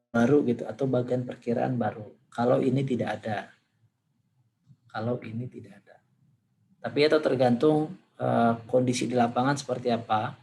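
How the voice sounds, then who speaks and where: A man teaching a class, hoarse today, talking informally and thinking aloud as he demonstrates on screen. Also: mid-range voice, warm tone, slight background noise, low-quality recording. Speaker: lecturer.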